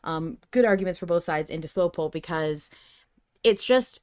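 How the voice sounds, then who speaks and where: A woman hosting a podcast, chatting casually and debating in an animated, playful way. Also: on the low side, moderate pace. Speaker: podcast host. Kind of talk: casual chat about an audio drama.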